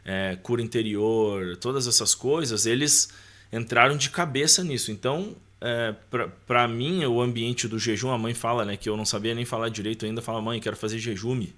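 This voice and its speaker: A man's voice: mid-range voice, moderate pace, a bit bright. A man with a Brazilian accent and a mid-range voice talks as a guest on a podcast. He speaks informally, in the manner of someone sharing a personal testimony in conversation, often adding small tags to check that the listener follows.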